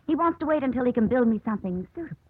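A woman's voice: on the high side, animated, racing, husky. An actress playing a poised, gracious and flirtatious newlywed lady in a 1950s radio drama, with genteel, polished delivery. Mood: gracious, charming. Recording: tinny 1950s radio recording.

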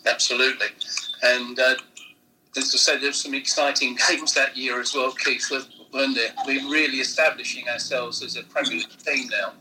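A man's voice: mid-range voice, fairly even intonation, moderate pace, sharp tone, slight background noise, poor audio. A man hosting an interview, prompting his guest with leading questions. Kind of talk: podcast interview.

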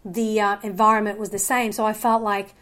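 A woman's voice: medium pitch, little pitch movement, brisk, fairly bright tone. A woman, talking earnestly as a guest in a podcast interview, with many fillers and restarts. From Australia. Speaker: guest filmmaker.

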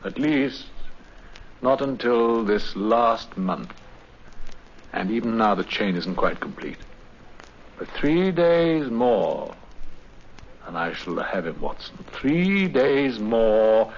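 An actor playing a gaunt, weary English detective in a 1950s radio drama, speaking in a clipped, urbane and dramatic British voice. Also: male voice, fairly low pitch, sing-song, slow pace, long pauses, heavily acted. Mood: grave, intense. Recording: muffled, noisy 1950s radio recording.

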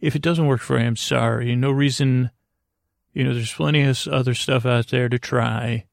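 Gravelly man rambling haltingly. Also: mid-range voice, fairly even intonation, dry recording, studio quality. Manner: halting, meandering, repeats himself; whimsical, tongue-in-cheek. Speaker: podcast host. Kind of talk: rambling improvised sleep podcast monologue.

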